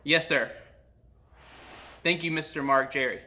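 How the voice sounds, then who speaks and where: A man's voice: on the high side, measured pace, pushed and bright. A man hosting a comedy show, talking in casual banter and prank-call style with a mock-earnest manner.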